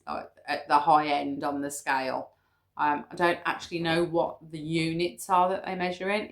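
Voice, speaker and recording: A British woman in her fifties talking casually to camera, explaining her figures step by step with frequent ums. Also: low voice. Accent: British English. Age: 50s. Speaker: weight-loss vlogger.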